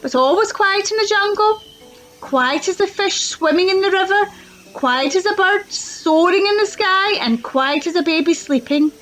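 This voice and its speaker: A children's librarian, reading a picture book aloud with lots of expressive sound words. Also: female voice, very high voice, normal speed, soft background music, rough recording. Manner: expressive, sound-effect-filled storytelling. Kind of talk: storybook read-aloud.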